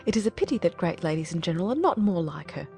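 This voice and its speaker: A woman's voice: on the low side, sing-song, fast talker, gravelly, warm tone, soft background music. A woman voicing a gushing, inquisitive middle-aged mother in a dramatized audiobook of a classic novel. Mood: curious, approving. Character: nosy, excitable middle-aged matchmaking mother.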